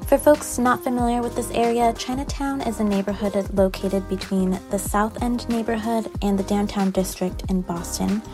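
A woman reading a scripted podcast introduction in an even, read-aloud delivery. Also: medium pitch. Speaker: podcast narrator. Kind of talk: scripted narration. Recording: narration over music.

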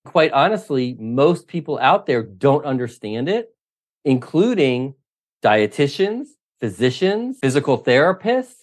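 This is a middle-aged man coaching triathletes, speaking as a podcast guest. His voice sits at an everyday middle pitch. He is emphatic and opinionated and stacks up examples in lists. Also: sing-song, unhurried. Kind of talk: interview answers.

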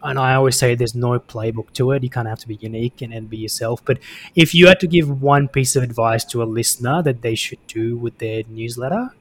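A man's voice: medium pitch, brisk. A man hosting a conversational interview in a casual, engaged manner. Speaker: interviewer, podcast host.

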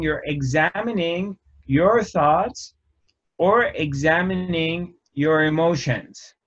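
A man speaking very slowly, with a slightly raised pitch, as he gives a contemplative spiritual teaching. He talks in short, deliberate phrases and leaves long gaps between them. The recording sounds dull and lo-fi. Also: a bit bright. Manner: slow, measured, pausing often between phrases. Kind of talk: reflective spiritual talk.

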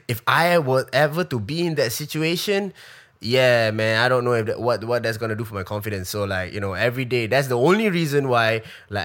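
A man's voice: mid-range voice, fairly bright tone. A comedian in his thirties with a Singaporean English accent, chatting casually through a live-stream Q&A, rambling with lots of fillers, restarts and swearing. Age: 30s.

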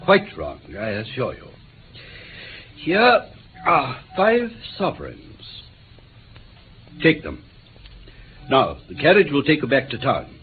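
Polite but threatening villain, voice swoops all over, tinny hissy old radio drama. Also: male voice, medium pitch, huge pitch swings, slow pace, long pauses. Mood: falsely apologetic. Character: smooth-talking, menacing male villain.

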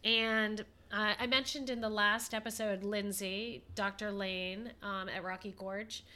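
Bright-voiced, nasal woman with a cold. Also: medium pitch, steady tone. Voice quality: a little nasal. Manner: chatty, with many fillers and pauses. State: stuffed up with a head cold. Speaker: podcast co-host. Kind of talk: casual podcast chat.

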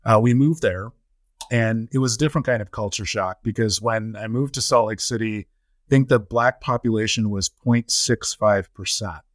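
A middle-aged man reflects on his life as an interview guest. His voice sits on the low side, and he builds his account phrase by phrase in a measured way. The sound is very dry, with no room around it. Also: no room sound. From United States (Colorado) and Trinidad. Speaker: interview guest, nonprofit chief executive. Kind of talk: reflective personal storytelling in an interview.

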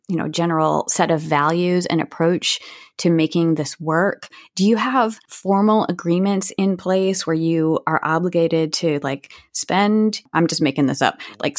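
Low-pitched woman interviewer, very dry sound. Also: no echo. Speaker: podcast host, interviewer. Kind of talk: conversational interview.

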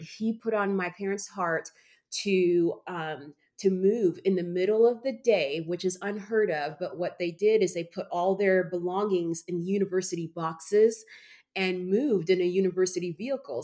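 A woman in her forties from Uganda, a guest author telling her story in a conversational interview, bubbly when excited and earnest about her faith. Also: fairly low pitch. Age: 40s.

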